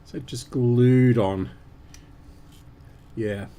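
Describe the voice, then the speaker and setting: Slow, mellow man muttering at a workbench. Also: medium pitch, fairly even intonation. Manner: halting, muttering to himself as he works. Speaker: host of an electronics repair stream. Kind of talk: unscripted workbench commentary while working.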